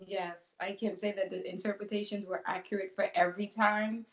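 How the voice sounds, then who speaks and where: A woman's voice: medium pitch, flat delivery, brisk, highs cut off. A woman from the Bahamas giving a casual, chatty testimony as a guest, with plenty of fillers. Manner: chatty, lots of fillers like "you know".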